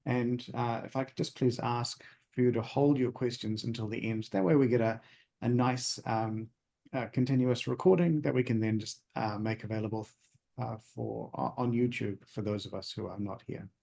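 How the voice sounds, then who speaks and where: A man with a mid-pitched, gravelly voice introduces a guest speaker. His delivery is polite and halting, and he comes through a video call. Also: fairly even intonation, mellow. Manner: halting, polite, with frequent pauses. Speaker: host of a society talk. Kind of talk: formal introduction.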